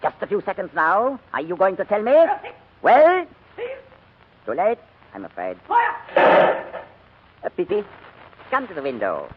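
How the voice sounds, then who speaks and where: An actor playing a cold, formal German army major who interrogates with clipped menace in a 1940s radio drama. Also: male voice, high-pitched, huge pitch swings, measured pace, long pauses, exaggerated voice. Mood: menacing. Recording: dull, noisy 1940s radio recording.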